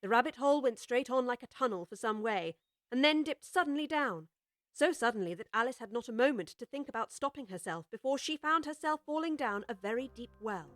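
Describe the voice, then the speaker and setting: High-voiced woman narrating a storybook. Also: animated, a little fast, fairly bright tone, no echo, studio quality. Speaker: audiobook narrator.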